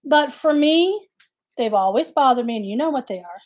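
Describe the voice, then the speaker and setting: A woman's voice: sits high, lively intonation, normal speed. A woman in her late forties co-hosting a casual live chat, talking candidly with plenty of joking. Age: late 40s.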